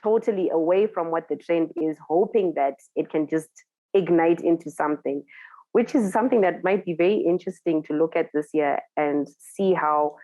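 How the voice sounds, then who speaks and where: Low-voiced Zimbabwean woman DJ. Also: dark tone, no echo, dull audio. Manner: thoughtful, searching for words, laughs easily. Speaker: DJ guest. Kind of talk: casual interview.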